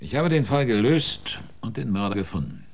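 An actor playing a weary, terse detective in a German radio drama, dry and matter-of-fact in delivery. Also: male voice, lowish voice, very animated, normal speed, gravelly, full character voice. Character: weary, terse male detective wanting his fee.

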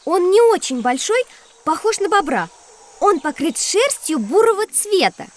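A woman's voice: very high voice, big pitch swings, a little slow, full character voice. A woman playing a sweet, curious, easily frightened little girl who loves animals, in a children's educational audio drama.

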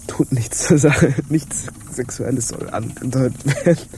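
Against clear background noise, a man plays a feverish pirate crewman in a radio drama. He is medium-pitched, an odd, yearning fellow who talks in halting, formal, confiding phrases. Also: warm tone, lightly acted. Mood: awkward, devoted. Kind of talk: theatrical radio drama acting.

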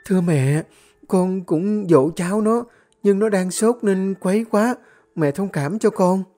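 A woman voicing a meek young daughter-in-law who pleads softly and humbly in an audio story. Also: fairly low pitch, animated, normal speed, faint music behind. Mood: pleading.

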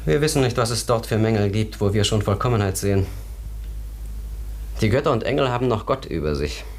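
Philosophical male companion, lowish pitch, deliberate and contemplative, literary radio drama. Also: expressive. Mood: grave, contemplative. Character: philosophical man pondering another world, thoughtful companion.

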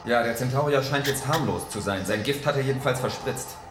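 A man's voice: mid-range voice, a little fast, music in the background, close to an everyday voice. An actor playing a matter-of-fact crewman guarding a prisoner in a science-fiction radio drama. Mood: calm, curious. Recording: echoey room.

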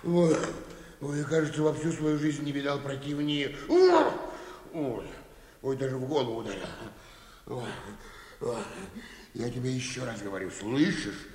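An actor playing an irascible, exasperated bank clerk in a comic radio play, irritable and spluttering, repeating himself. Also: male voice, medium pitch, normal speed, a little mellow, natural voice. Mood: furious threatening. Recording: roomy, reverberant radio drama recording.

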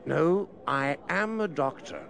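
An actor playing a sad, forgetful male doctor, speaking in a hesitant, mournful way in a slow course dialogue. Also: on the high side, lively intonation, gravelly, fairly bright tone, heavily acted. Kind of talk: scripted language course dialogue.

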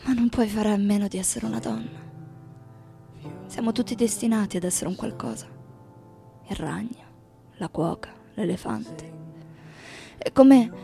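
An actress playing a weary, tender lover in an intimate literary radio drama, with a gravelly, creaky voice. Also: female voice, mid-range voice, mellow, close to an everyday voice. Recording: voice over faint-to-clear music bed.

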